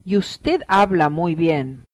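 A woman modeling phrases for a language course, each one said twice with clear, deliberate enunciation. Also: fairly low pitch, no echo. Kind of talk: repeating lesson phrases.

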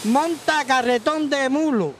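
A man from Cuba with a Cuban accent, a passerby in a street interview, answering in a quick, jokey way. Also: very high-pitched, noisy background.

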